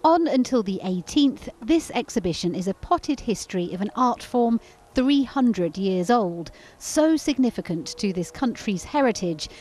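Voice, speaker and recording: A woman's voice: a little high, expressive, unhurried, a little mellow. A woman working as a television reporter, narrating a scripted news feature.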